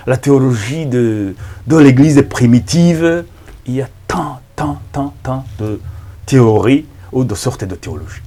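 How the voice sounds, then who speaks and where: Male Rwandan pastor, Kinyarwanda mother tongue: husky, emphatic, halting preaching delivery with very lively intonation. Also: medium pitch. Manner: halting, emphatic, repeats words. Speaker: pastor and evangelist. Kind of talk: preaching introduction to camera.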